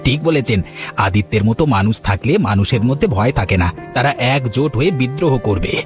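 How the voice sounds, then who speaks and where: A man's voice: mid-range voice, animated, fast, lightly acted. A man voicing a village headman's scheming, fawning and bullying henchman in a cartoon. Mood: scheming, deferential. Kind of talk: Bengali cartoon villain acting. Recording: music behind the voice.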